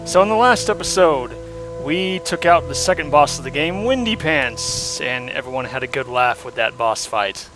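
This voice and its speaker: A hoarse young man giving casual, rambling commentary while playing a game, with lots of ums and uhs. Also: a little high, animated, normal speed, music in the background, poor audio. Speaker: let's play host.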